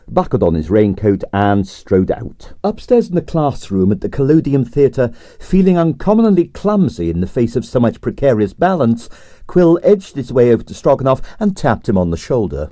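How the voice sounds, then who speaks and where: A man's voice: mid-range voice, big pitch swings, normal speed, dark tone, no echo. A man reading a comic mystery novel aloud in scripted narration, with a dry, wry storytelling manner. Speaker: audiobook reader.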